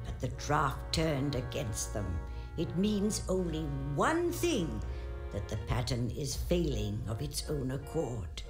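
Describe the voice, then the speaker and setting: A woman's voice: deep voice, sing-song, slow, music in the background, full character voice. A woman playing a grave, protective, motherly weaver turned swan in a fantasy game drama. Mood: grave, tender.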